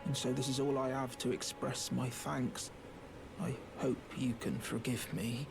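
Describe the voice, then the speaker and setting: A man's voice: medium pitch, flat delivery, unhurried, creaky. A man playing a timid, plaintive little outcast creature in a video game, hesitant and stammering as he pleads. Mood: grateful, wistful. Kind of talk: video game character acting. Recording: game audio under loud music. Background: loud game music.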